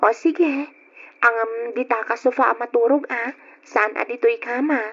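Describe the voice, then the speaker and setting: A woman's voice: fairly high pitch, moderate pace, a bit bright, dull audio. An actress playing a young female student, coy and playful, in an old radio drama. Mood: coy concern.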